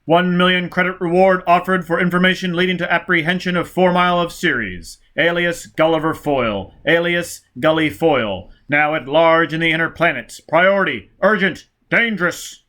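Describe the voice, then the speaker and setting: An urgent official dispatcher acted out by a man, pushed higher than his natural register. He gives orders in clipped, commanding bursts, like a bulletin in an audiobook. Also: normal speed, hardly any pauses, pushed and bright.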